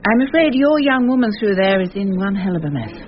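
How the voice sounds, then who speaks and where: An actor playing a courteous, measured male police doctor with clinical understatement in a radio crime drama. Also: sits high, big pitch swings, soft background music. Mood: polite, clinical. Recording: muffled radio drama, faint noise.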